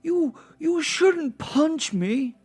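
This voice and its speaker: A man voicing a pompous, wounded archangel in an audio drama, declaiming grandly and halting with outrage. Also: extremely high pitch, animated, darkish tone, pitched above the performer's own voice, full character voice. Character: Archangel Gabriel, pompous, wounded, indignant male angel.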